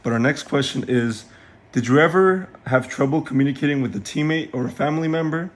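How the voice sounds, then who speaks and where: A man's voice: mid-range voice, brisk. Young man hosting a casual podcast. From Mexican-American from West Texas. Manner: reads questions from a script. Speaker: podcast host.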